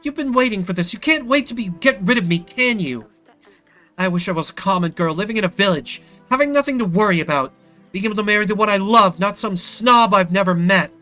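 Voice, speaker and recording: A man's voice: high-pitched, animated, brisk, pushed and bright, faint music behind, voice pushed up. A man voicing an angry, petulant teenage princess who speaks in an indignant, accusing manner. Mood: hurt, indignant.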